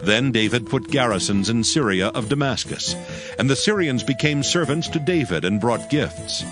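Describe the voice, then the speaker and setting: A man narrating scripture from a script in a formal, measured storytelling manner. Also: mid-range voice, animated. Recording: music behind the voice. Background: music.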